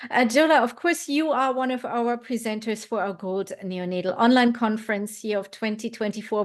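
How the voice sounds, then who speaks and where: A woman, a midwife and host, asking questions with enthusiastic, affirming remarks. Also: on the high side. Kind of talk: online interview.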